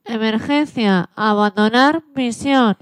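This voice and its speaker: A woman's voice: fairly high pitch, slow, smooth, no room sound. A woman playing an impassive rocket computer in a radio story, announcing commands word by word. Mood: measured, commanding.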